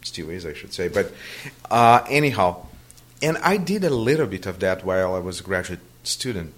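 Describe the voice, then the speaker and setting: A man's voice: low-pitched, big pitch swings, moderate pace. A Brazilian man, native Portuguese speaker, answering interview questions in a hesitant conversational way, often restarting his sentences. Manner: hesitant, many restarts and fillers.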